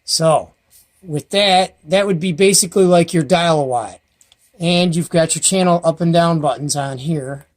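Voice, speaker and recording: A man's voice: a little high, a little slow. Folksy, enthusiastic man reviewing gear. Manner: enthusiastic, folksy, rambling with fillers. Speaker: host of a CB radio review channel. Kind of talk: casual product review and unboxing. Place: at home, filming handheld by himself.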